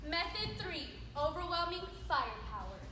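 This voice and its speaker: A woman's voice: extremely high pitch, sing-song, moderate pace. A woman playing a bossy, incredulous girl in an amateur stage comedy, recorded in a hall. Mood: determined. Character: bossy, incredulous girl proposing ways to fight zombies. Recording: very echoey, noisy hall recording.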